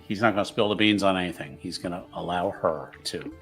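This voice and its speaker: A man's voice: deep voice, fairly quick, a bit bright, soft background music. A man chatting casually at a tabletop roleplaying game. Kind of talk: casual group chat.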